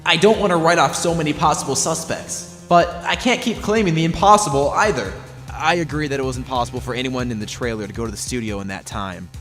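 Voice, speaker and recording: A man's voice: medium pitch, quick pace, fairly bright tone, big-room sound, soft background music, close to an everyday voice. A man playing an earnest, easily flustered young defense lawyer in an amateur courtroom game fan dub, emphatic and reactive. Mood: resigned.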